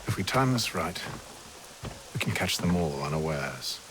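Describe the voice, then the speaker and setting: An actor playing a calm, authoritative officer who commands his men in measured, clipped sentences, in a video game scene. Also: very deep voice, noisy background, heavily acted. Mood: commanding. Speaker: commander leading an ambush. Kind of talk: video game cutscene dialogue.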